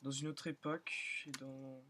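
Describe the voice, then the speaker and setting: A young man who is still in school, slightly hoarse, rambling and thinking aloud with many fillers as he comments on his own gameplay. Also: medium pitch, little pitch movement, normal speed, heavy background noise. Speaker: gaming channel host. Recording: loud keyboard and mouse clicking.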